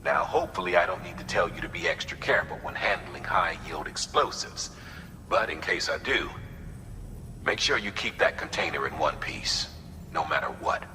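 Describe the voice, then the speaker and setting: Very forceful deep voice, stern game dispatcher, businesslike, dryly cautioning, reverberant with faint noise. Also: male voice, lively intonation, normal speed, faint music behind, heavily acted. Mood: firm, cautioning. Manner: instructive, firm, dryly cautioning. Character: stern, businesslike male dispatcher giving mission orders. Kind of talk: video game mission briefing.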